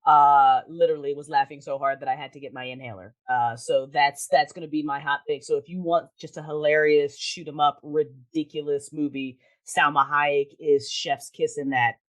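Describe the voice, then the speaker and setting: A woman talking casually as a podcast guest, with an animated, emphatic, informal way of speaking. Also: sits low, moderate pace, hardly any pauses. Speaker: podcast guest, audio drama creator. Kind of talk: casual interview chat.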